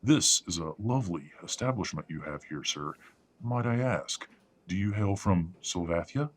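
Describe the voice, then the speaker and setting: A man playing a suave, condescending father with a husky voice and formal, unctuous manner in an audio drama. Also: sits low, lively intonation, moderate pace, slight background noise. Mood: smoothly polite. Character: Charles, suave disapproving father, smoothly condescending patriarch. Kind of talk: audio roleplay drama acting.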